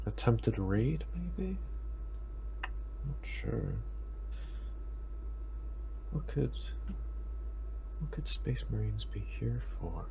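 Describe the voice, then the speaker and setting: A man's voice: medium pitch, big pitch swings, extremely slow, vocal fry, long pauses, mellow, slight background noise, rough recording. A man playing a tabletop roleplaying game, speaking casually in a tentative, hedging way.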